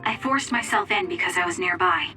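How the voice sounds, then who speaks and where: A woman's voice: medium pitch, fairly quick, pushed and bright, close to an everyday voice. A woman playing a blunt, commanding young female soldier in a video game dub. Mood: curt. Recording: slightly distant voice with music behind.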